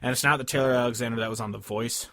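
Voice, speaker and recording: A man's voice: medium pitch, steady tone, very fast, bright, forceful tone, dry recording. A man chatting casually and informally as a podcast host, swearing freely as he talks about music. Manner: profane, informal.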